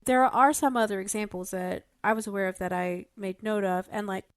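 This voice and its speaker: A woman's voice: mid-range voice, a little fast, smooth, no room sound. A woman podcast co-host with a mid-range voice, recorded very dry. She explains things in casual discussion. Wry little asides color her delivery.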